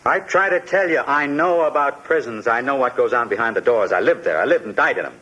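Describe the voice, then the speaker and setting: An actor playing a haunted, distrustful immigrant who escaped a prison camp, desperate and anguished, in a 1950s radio crime drama. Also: male voice, medium pitch, lively intonation, bright, forceful tone. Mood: bitter, agitated. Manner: distrustful, anguished, halting repetition under strain. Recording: muffled, noisy 1950s radio recording.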